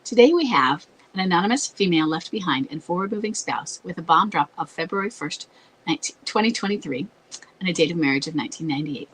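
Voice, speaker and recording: A woman hosting an advice talk, congested and scratchy as her voice gives out, explaining in a halting way. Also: on the low side, animated, a bit bright. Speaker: advice show host. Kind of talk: advice talk with read-out letters.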